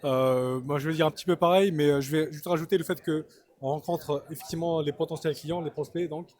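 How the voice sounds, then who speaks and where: A man answers interview questions in a measured, hesitant way, filling his pauses. His voice sits a bit high for a man. The interview takes place at a trade fair. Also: fairly even intonation, a little mellow. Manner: hesitant, many fillers, measured. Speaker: importer, interview guest. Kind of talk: casual spoken interview. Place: busy trade fair hall.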